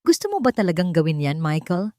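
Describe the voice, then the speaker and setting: A woman's voice: fairly low pitch, lively intonation, a little fast, dark tone, no echo, clean studio sound, natural voice. A Filipino woman voicing a composed, defiant wronged wife in an audiobook drama. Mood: angry challenge. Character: fearless, defiant wronged wife confronting her husband.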